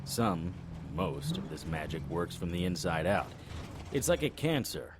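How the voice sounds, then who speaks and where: A man's voice: deep voice, big pitch swings, measured pace. An actor playing a grave, matter-of-fact male paranormal investigator in an audio drama. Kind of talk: audio drama acting and narration. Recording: road noise behind voice. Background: car engine ambience.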